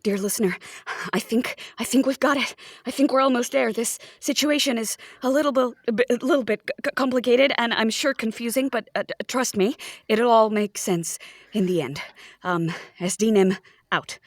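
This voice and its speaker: A woman's voice: on the high side, moderate pace, no echo, studio quality. A woman playing a nervous, muddled, confiding character with a hesitant stammer in an improvised comedy game. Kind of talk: improvised comic roleplay.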